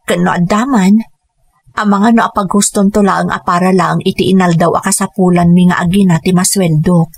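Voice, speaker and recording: A woman's voice: lowish voice, level tone, normal speed, husky. A woman playing an earnest, self-sacrificing young mother who speaks pleadingly in a radio drama. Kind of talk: Ilocano radio drama acting.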